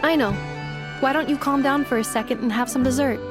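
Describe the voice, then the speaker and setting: A woman's voice: high voice, fairly quick, natural voice. A woman voicing a polite, logical female robot in a video game, speaking in clipped, formal, analytical phrases. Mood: earnest. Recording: music behind the voice.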